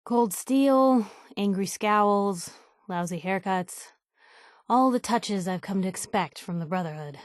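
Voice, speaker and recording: An actress plays a young American woman working as a reporter in a post-apocalyptic game world. She talks in snappy, streetwise banter with a sardonic edge and a stubborn, nosy drive. Her voice sits at a medium pitch and is recorded very dry. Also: slow, no room sound, natural voice. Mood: wry, explaining. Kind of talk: video game character dialogue.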